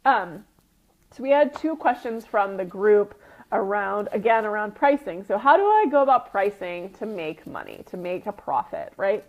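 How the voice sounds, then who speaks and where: A woman's voice: mid-range voice, very animated, moderate pace. Sniffly woman coaching, dull lo-fi audio. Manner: conversational teacher, often checking in with "right?" and "okay?". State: sniffly with a runny nose. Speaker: retreat business coach and group host. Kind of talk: informal live coaching talk.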